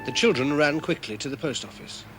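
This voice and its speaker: Measured male storybook narrator. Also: on the high side, animated. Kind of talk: scripted narration for children. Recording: narration over music. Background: music.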